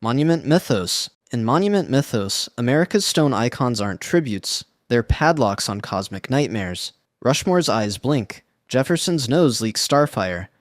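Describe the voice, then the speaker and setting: A man giving scripted internet narration in a slangy, wry, deadpan way. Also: medium pitch, normal speed, few pauses, dry recording, studio quality.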